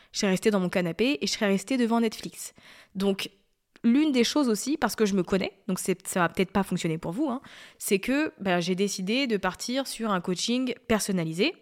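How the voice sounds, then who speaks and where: A woman in her thirties talks to her listeners in a relaxed, confiding podcast chat. She drifts from point to point in a chatty way, at a medium pitch. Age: 30s. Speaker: podcast host. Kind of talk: casual solo podcast talk.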